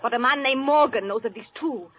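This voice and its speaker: Melodramatic 1930s radio heiress, bright high voice, theatrical delivery, dull old broadcast sound. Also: female voice, fairly quick, husky. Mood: dramatic. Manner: theatrical, intense. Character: melodramatic young heiress guarding a fortune.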